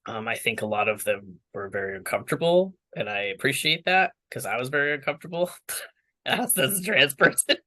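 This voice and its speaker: A man's voice: medium pitch, expressive, a little fast, bright, forceful tone. A young man speaking candidly as an interview guest, rambling with many fillers and restarts. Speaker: interview guest, water professional.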